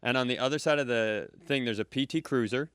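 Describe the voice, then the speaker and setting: A man's voice: medium pitch, fast talker, fairly bright tone, clean tone, dry recording. A man co-hosting a casual comedy podcast, telling a long, dry, rambling story full of self-corrections, recorded live at a comedy club. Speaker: podcast co-host.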